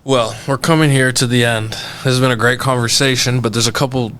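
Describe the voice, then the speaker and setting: A man's voice: mid-range voice, steady tone, a little fast, fairly bright tone, smooth. A man co-hosting a podcast, speaking in an earnest, plain-spoken way. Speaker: podcast co-host. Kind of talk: casual podcast conversation.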